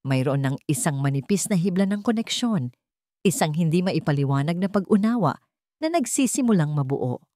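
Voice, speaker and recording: A woman's voice: lowish voice, big pitch swings, normal speed, mellow, no room sound, clean studio sound. A woman reading a scripted, dramatic story as its narrator.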